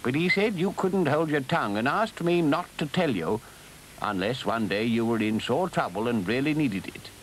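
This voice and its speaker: Man voicing a stern, deliberate old badger. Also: mid-range voice, animated, background noise, close to an everyday voice. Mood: severe. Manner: grave, deliberate, commanding. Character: stern, authoritative older male badger. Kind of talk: animal storybook character voice.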